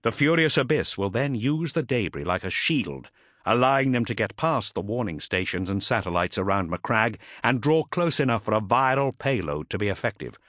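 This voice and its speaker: Guy doing a stern military captain for an audiobook, formal commanding speeches, raised pitch, super emphatic, dry sound. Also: very animated, a bit bright, dry recording. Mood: grave, resolute. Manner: formal, measured, emphatic oratory.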